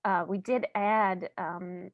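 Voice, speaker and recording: Female director as interview guest, dry recording, average pitch, conversational with halting phrasing. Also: fairly even intonation, dry recording, phone-quality sound. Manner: halting, pauses mid-sentence.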